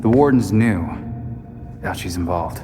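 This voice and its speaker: A man's voice: low-pitched, animated, a little slow. An actor playing a casual, skeptical young male vigilante in a video game, informal and matter-of-fact. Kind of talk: video game cutscene acting. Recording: long hall reverb, music behind.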